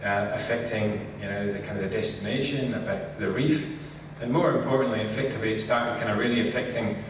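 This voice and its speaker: A middle-aged man from Scotland with a Scottish accent, giving a relaxed conference talk and saying "kind of" constantly. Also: on the low side, a little fast, noisy background. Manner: relaxed, filler-heavy, says "kind of" constantly. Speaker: conference speaker. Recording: echoey hall, distant mic.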